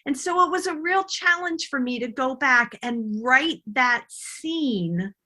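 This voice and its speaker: Bright, higher-voiced middle-aged woman being interviewed. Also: expressive, takes it slowly. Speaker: podcast guest, novelist. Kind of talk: conversational interview.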